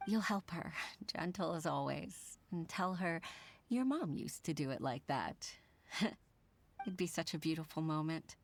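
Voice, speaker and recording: A woman's voice: deep voice, a little slow, no room sound. A woman playing an earnest, modest and respectful working mother in a video game, speaking politely and sincerely with some hesitation. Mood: tender, hopeful. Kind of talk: dubbed game dialogue acting.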